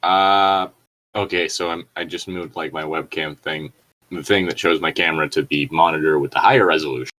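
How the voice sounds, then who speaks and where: A man's voice: a bass voice, fairly even intonation, a little fast, pushed and bright, a little hiss or hum, low-quality recording. A man hosting a casual fanfiction reading, commenting with incredulous, sweary asides. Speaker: host.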